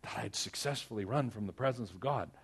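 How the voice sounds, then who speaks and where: Husky low-voiced male preacher. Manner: earnest, exhorting, with dramatic pauses and repeated phrases. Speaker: pastor. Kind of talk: sermon with personal stories and prayer.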